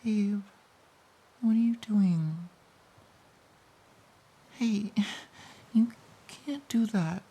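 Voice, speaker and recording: Woman voicing a playful pouty girlfriend, romantic audio roleplay, mellow dark tone, breathy, slow, cooing, intimate. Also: medium pitch. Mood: playful protest. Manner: soft, giggly, hesitant, cooing. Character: playful, pouty, affectionate girlfriend snuggling in bed.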